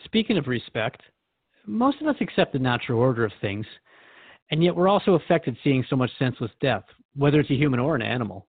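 A man's voice: medium pitch, very animated, fast talker, vocal fry, husky, dry recording, phone-quality sound, exaggerated voice. A man playing an earnest, thoughtful radio host who interviews in a reflective, conversational and sometimes hesitant way. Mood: earnest, troubled.

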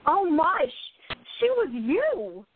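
A woman's voice: extremely high pitch, wildly swooping pitch, slow pace, a little hiss or hum, full character voice. A woman acting a jealous, guarded and easily wounded young actress in an amateur radio play. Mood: hesitant, awed. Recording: thin, phone-like band-limited audio.

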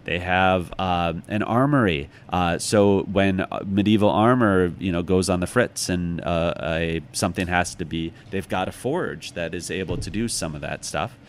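A man's voice: low-pitched, moderate pace, few pauses. An American man talking in a conversational interview, explaining things reflectively as a guest. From Chicago, United States. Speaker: interview guest, author and former museum guard. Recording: clearly noisy background.

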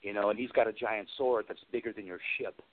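A man's voice: fairly low pitch, steady tone, fast talker. Man on a tinny phone line, telling anecdotes. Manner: enthusiastic, rambling storyteller. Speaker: guest, special effects artist and creature performer. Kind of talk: conversational anecdote telling in an interview. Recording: tinny phone-like radio call.

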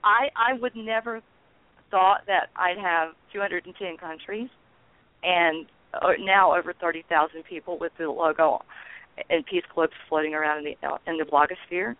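A woman's voice: on the low side, fairly even intonation, no echo. An older woman speaks as an interview guest, feeling her way through her answers with frequent ums and false starts. Her voice comes down a narrow, tinny phone line. The sound is dry with no room around it. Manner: halting, with many ums and restarts. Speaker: guest, founder of a peace network. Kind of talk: casual radio interview.

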